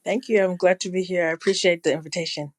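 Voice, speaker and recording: A woman guest in her field of public health, answering interview questions in a measured, thoughtful way with a slight Ghanaian-tinged accent. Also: on the low side, steady tone, no room sound. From New Jersey, family from Ghana. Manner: measured and thoughtful, with occasional restarts.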